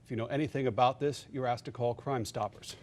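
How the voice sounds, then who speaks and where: A man's voice: mid-range voice, steady tone, quick pace, a little mellow. A man anchoring a TV newscast, reading scripted news in a studio.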